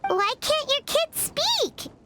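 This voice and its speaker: A woman voicing an excitable, boastful, childlike fairy companion in a video game, with a squeaky voice and exclamatory delivery. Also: takes it slowly, exaggerated voice. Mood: puzzled. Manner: refers to self in third person, exclamatory. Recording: background music behind dialogue.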